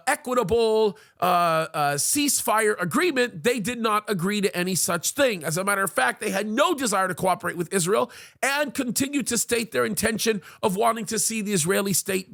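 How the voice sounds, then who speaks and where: A man's voice: high voice, gravelly, a bit bright. A man who is a pastor, reading a news article aloud and stopping to comment in an earnest, emphatic way, with frequent "uh" fillers. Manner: earnest, emphatic, leans hard on key words.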